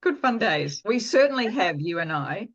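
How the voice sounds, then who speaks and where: A woman's voice: mid-range voice, big pitch swings, normal speed, dull audio. A woman hosting a podcast, introducing and chatting with a guest in an enthusiastic, chatty manner. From Canada. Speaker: podcast host. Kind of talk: podcast interview.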